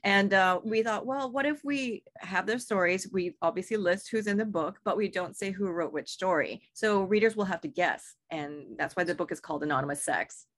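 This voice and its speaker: A woman from Singapore speaks as an author in an interview. She is chatty, fills her talk with ums and restarts, and laughs easily. Her voice sits in a middle pitch. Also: moderate pace, hardly any pauses, a bit bright. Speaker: author and editor. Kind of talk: interview conversation.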